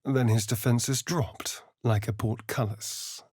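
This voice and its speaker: A man's voice: mid-range voice, fairly even intonation, takes it slowly, a little mellow, breathy edge, no echo, studio quality. A man playing a sly, centuries-old vampire spawn in a video game, arch, flamboyant and teasing, with precise enunciation. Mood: measured, grave storytelling. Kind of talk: video game character voice lines and narration.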